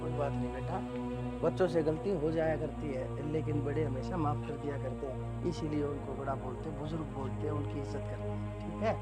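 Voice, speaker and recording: A man playing an elderly, forgiving father-in-law who speaks calmly and soothingly. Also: high-pitched, brisk, close to an everyday voice. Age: older. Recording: background music under the voice.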